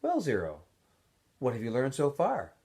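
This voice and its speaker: A man's voice: medium pitch, huge pitch swings, normal speed, darkish tone. A man voicing a cold, sarcastic woman warden in a storybook reading. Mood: testing, condescending. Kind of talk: storybook character voice.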